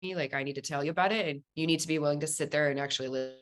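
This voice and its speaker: Low-voiced woman, casual podcast guest. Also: rapid-fire. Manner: candid, informal, explanatory. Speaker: podcast guest, police dispatcher. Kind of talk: casual conversational interview.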